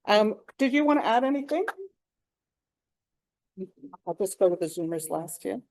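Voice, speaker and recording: A woman's voice: mid-range voice, lively intonation, moderate pace, a little mellow, poor audio. A woman facilitating a conference session, speaking informally and appreciatively as she offers closing reflections. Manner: appreciative, encouraging. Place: conference hall, live talk.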